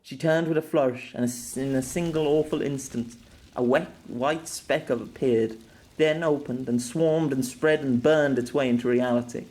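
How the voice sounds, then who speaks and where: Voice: medium pitch, fairly even intonation, normal speed, darkish tone, poor audio. An author narrating their own novella as an audiobook, telling the story expressively.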